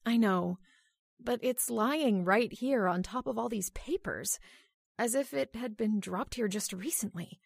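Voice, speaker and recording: A woman's voice: a little high, measured pace, vocal fry, husky, no room sound, studio quality, full character voice. A woman playing a curious, jumpy wife in an old-time radio horror drama, urgent and exclamatory. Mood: curious, insistent. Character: jumpy, curious, insistent wife in a haunted house.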